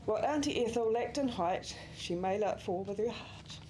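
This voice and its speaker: A woman's voice: medium pitch, animated, moderate pace, noisy background. New woman reading a eulogy. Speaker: family friend giving a eulogy. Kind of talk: reading a memorial speech. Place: memorial service venue.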